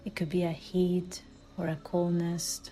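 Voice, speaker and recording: Slow, mellow woman guiding meditation. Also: lowish voice, monotone. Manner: soft, soothing delivery with breathy, near-whispered phrase endings. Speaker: meditation guide. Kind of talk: guided meditation. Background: background music.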